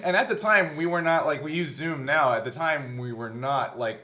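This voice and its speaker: A man's voice: fairly high pitch, normal speed, a crowd in the background. A man co-hosting a casual chat, explaining his thinking in circling, self-correcting phrases. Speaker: podcast co-host. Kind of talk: casual behind-the-scenes chat.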